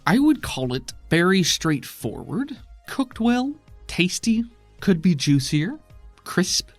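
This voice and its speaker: A man's voice: sits high, big pitch swings, slow, dry recording, soft background music, pitched above the performer's own voice, heavily acted. A man voicing an apprehensive, supportive woman friend in a tabletop game, earnest and anxious. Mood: matter-of-fact.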